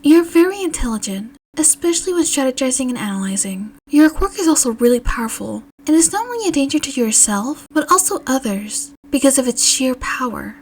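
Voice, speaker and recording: A confident, caring teenage girl read by a woman narrator, lifted high in pitch. She speaks earnestly and encouragingly, like a student heroine in an audio story. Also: expressive, brisk, few pauses, a little hiss or hum. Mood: confident, reassuring.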